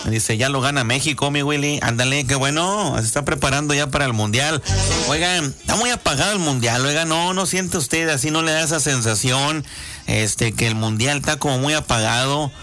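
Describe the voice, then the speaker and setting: A man with a Mexican Spanish accent hosting a radio show, hoarse-voiced, chatty and folksy as he reads out listener greetings. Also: mid-range voice, normal speed, over music. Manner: chatty, folksy, many fillers. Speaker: radio host. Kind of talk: casual radio chat reading listener greetings.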